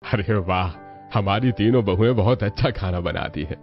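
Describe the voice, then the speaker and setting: A man's voice: lowish voice, moderate pace, natural voice. A man playing a middle-aged father of three grown sons in a cartoon family story. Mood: approving. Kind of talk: Hindi cartoon story voice acting. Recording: dull lo-fi audio over music.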